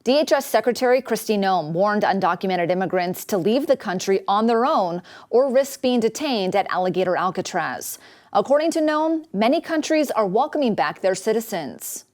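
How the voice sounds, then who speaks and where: A woman's voice: medium pitch, animated, moderate pace, a little mellow. A woman with an American accent delivering scripted news narration as a reporter.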